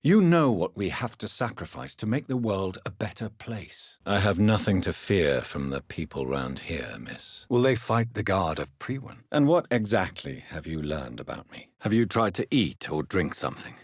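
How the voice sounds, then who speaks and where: An actor playing a grave, courteous vampire doctor in a video game, with a British accent, a husky voice and formal, measured phrasing. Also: male voice, low voice, sing-song, no echo, clean studio sound, heavily acted. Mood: measured, probing. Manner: formal, measured, polite, probing. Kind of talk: dramatic video game dialogue.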